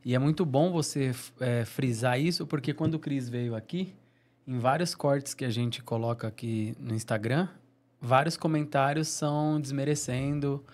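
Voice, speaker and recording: Medium-pitched Brazilian male co-host. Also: normal speed, a little mellow. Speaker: podcast co-host. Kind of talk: casual supportive podcast chat.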